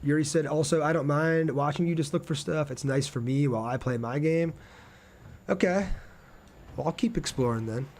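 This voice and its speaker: A man's voice: on the high side, moderate pace, darkish tone, a little hiss or hum. A man chatting casually and informally on a game livestream, reading out viewer comments and joking at his own expense.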